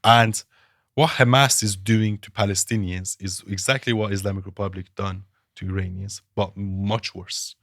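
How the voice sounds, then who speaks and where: A man's voice: fairly low pitch, steady tone, normal speed, a bit bright, no room sound, clean studio sound. A man from Iran, a political analyst guest, arguing his views emphatically with rhetorical questions in a podcast interview.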